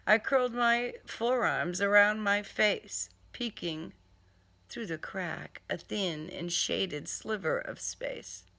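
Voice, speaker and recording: Expressive woman narrating, slow and dramatic. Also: medium pitch, creaky. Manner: sardonic, theatrical, expressive. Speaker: author reading own crime novel. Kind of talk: dramatic first-person audiobook narration.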